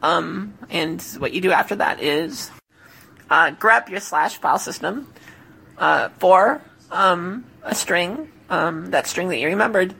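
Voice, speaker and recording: A woman podcast host with a hoarse, failing voice tells a tech story casually, in a halting, self-correcting way. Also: fairly low pitch, animated, bright, forceful tone, low-quality recording. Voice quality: hoarse, gravelly. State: hoarse, losing voice.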